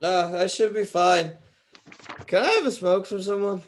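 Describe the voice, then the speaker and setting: A man's voice: high-pitched, moderate pace, natural voice. A man playing a polite, hesitant musician in an amateur script read-through. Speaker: table read participant.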